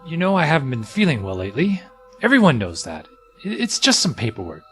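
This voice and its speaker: Pleading, weary husband played by a man, wildly swinging pitch. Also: wildly swooping pitch, a little fast, fairly bright tone, soft background music. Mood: weary, defensive. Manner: pleading, defensive. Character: weary, frightened husband and father pleading for his life. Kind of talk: audio drama acting.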